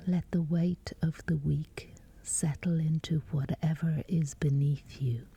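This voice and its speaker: A woman leads a guided sleep meditation in a very dark, mellow voice with a creaky edge. She speaks in a hushed whisper, extremely slowly, leaving long pauses between phrases. The delivery is scripted and unhurried. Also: deep voice, steady tone.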